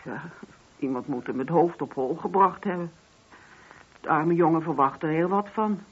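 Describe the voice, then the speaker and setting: A woman's voice: low-pitched, moderate pace, breathy edge. A woman playing a grieving, talkative, wistful mother in a Dutch radio play. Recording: dull, muffled old radio recording.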